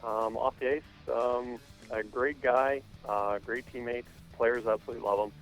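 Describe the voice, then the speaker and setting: A man speaking as a hockey coach in a phone interview, answering in a hesitant, measured way with many pauses. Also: mid-range voice, fairly even intonation. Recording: phone interview with music underneath.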